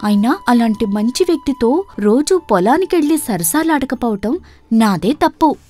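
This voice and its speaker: A woman voicing a tender-hearted young village daughter in an animated moral story, earnest and pleading. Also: a little high, normal speed.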